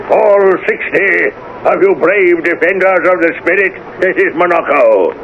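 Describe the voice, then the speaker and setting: A man playing a blustering army general in a 1970s radio drama, commanding yet sheepish, with officious delivery. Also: full character voice. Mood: rousing oratory. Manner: booming, drawn-out orders, officious excuses. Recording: muffled, noisy 1970s radio broadcast recording.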